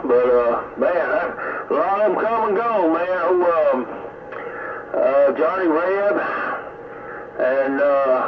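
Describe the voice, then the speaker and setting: A middle-aged or older man with a Southern US accent, chatting casually over CB radio in a folksy, rambling way. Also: on the high side. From American South. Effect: CB radio transmission. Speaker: CB radio hobbyist. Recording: tinny, narrowband CB radio with heavy static.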